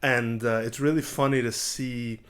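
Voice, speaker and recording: A man's voice: mid-range voice, fairly even intonation, moderate pace, fairly bright tone. A man chatting as a podcast guest, blunt and rambling, often restarting his sentences. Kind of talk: casual podcast chat. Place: comedy club.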